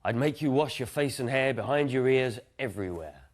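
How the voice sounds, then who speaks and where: A man's voice: mid-range voice, lively intonation, fairly quick, pitched above the performer's own voice, natural voice. A man voicing a small, bossy and imaginative girl in a children's picture-book story, in a firm, matter-of-fact way. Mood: firm, caring.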